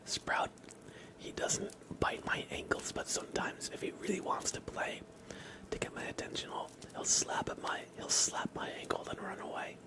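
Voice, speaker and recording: A man streaming ASMR in a soft, breathy whisper, chatting casually and gently while he plays a game. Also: moderate pace. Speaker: livestream host. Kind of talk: ASMR livestream, casual chat while gaming.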